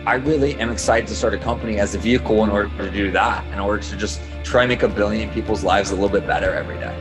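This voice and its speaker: Rapid-fire excited founder in his thirties. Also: fairly low pitch, music in the background. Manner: excited, fast, repeats "like". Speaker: startup founder.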